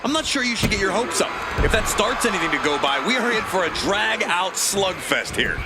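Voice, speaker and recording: A man's voice: high-pitched, very animated, a little fast, loud music behind the voice. A man voicing a color commentator in a wrestling video game, giving knowing analysis. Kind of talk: scripted sports commentary.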